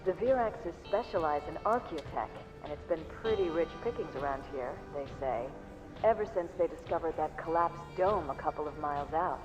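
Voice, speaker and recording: A man's voice: on the high side, expressive, normal speed, lightly acted. An actor playing a gossipy male bartender in a game, talking casually and confidingly. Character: chatty, gossipy male bartender in a rough underhive. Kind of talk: cutscene voice acting, casual barroom gossip. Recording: dull sound under clear music.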